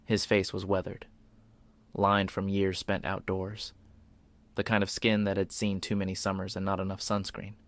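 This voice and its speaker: A man narrating a scripted suspense story in a brooding, deliberate manner, with short dramatic phrases. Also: low-pitched, monotone.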